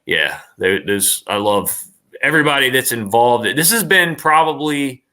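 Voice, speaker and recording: A man's voice: mid-range voice, expressive, measured pace, sharp tone. A man talking casually as an interview guest, enthusiastic and rambling, often repeating his phrases. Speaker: interview guest, online performer.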